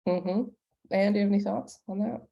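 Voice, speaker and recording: A woman's voice: mid-range voice, fairly even intonation, brisk, creaky, dark tone, lo-fi sound. A woman moderating a professional online panel and putting audience questions to the guests. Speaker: panel moderator and audio development executive.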